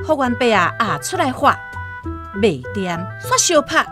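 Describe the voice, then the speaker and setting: A woman's voice: on the high side, sing-song, measured pace. A woman with a Taiwanese accent narrating a scripted literary radio drama as a storyteller. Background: plucked guitar music.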